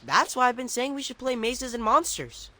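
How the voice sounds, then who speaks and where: A man playing an earnest, nerdy teenage mutant turtle in an animated game, eager and matter-of-fact. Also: very high-pitched, moderate pace, exaggerated voice. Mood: dry, advising.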